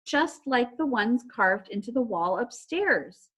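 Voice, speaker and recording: A woman voicing a sharp-eyed, eager storybook girl with an urgent, emphatic way of speaking. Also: on the high side, lively intonation, measured pace, breathy edge, full character voice.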